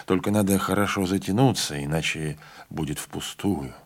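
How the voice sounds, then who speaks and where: A man voicing a calm, resigned older train conductor who speaks low and quietly. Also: moderate pace.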